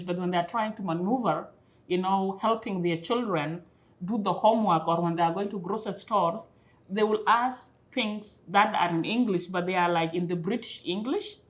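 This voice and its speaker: A young woman from Kenya telling stories in a relaxed conversation, repeating words as she starts and laughing along. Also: medium pitch. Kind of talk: conversational storytelling.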